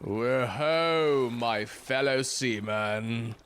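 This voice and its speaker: A man's voice: medium pitch, extremely slow, fairly bright tone. An actor playing a grandiose seaman in an audio drama, over some background noise. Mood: grand, dramatic. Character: grandiose sailor hailing the open seas. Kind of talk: scripted audio drama acting.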